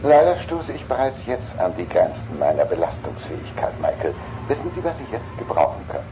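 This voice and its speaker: An actor playing a polite, fussy talking car computer with dry humour and precise, formal speech in a German audio drama. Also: male voice, medium pitch, big pitch swings, a little fast, lightly acted. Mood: worried, formal. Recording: echoing, noisy cassette radio play.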